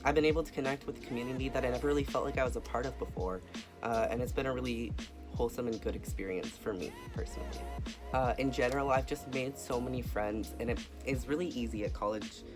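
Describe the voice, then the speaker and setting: A young man talks softly and earnestly into his camera, filling gaps with ums and uhs. His voice sits at an ordinary middle pitch for a man. Light music plays faintly behind him. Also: fast talker. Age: young adult. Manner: soft-spoken, earnest, with frequent fillers. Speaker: vlogger. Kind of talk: casual personal update.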